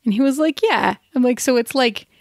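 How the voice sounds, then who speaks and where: High-voiced, giggly woman podcast co-host. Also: brisk, no echo, studio quality. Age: 30s. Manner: giggly, animated storytelling. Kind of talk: casual chat.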